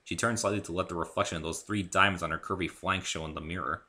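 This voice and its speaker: A man's voice: low voice, fairly even intonation, quick pace, sharp tone. A man giving a dramatic reading of a first-person story as an amateur narrator. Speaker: amateur author reading own story aloud.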